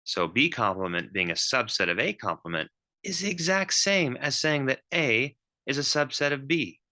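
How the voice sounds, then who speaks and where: A man's voice: mid-range voice, lively intonation, normal speed, bright, forceful tone. A man giving a recorded math lecture, thinking aloud with frequent ums and restarts.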